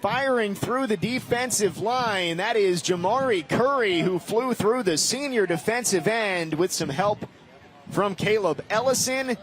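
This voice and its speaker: A man's voice: high voice, expressive, a little slow, a bit bright. A man calling live sports play-by-play in clipped, vivid phrases. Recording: noisy live game broadcast.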